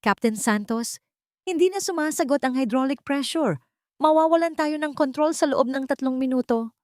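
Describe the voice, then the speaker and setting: A woman narrator puts on a frightened Filipino co-pilot in an audiobook drama. The voice is raised high and urgent, in a dry recording. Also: normal speed, no room sound, lightly acted. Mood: panicked. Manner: urgent shouting. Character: panicking airline co-pilot, urgent and afraid.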